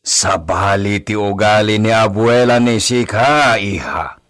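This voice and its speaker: A man playing a stern landowning father in a radio drama, measured and authoritative. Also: on the low side, fairly bright tone. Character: stern landowning father speaking to his daughter.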